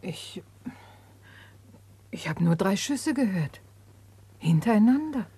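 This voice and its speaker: An actress playing a timid, shaken neighbour who witnessed a crime in a German radio play, hushed and hesitant. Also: female voice, medium pitch, lively intonation, takes it slowly, breathy edge, full character voice.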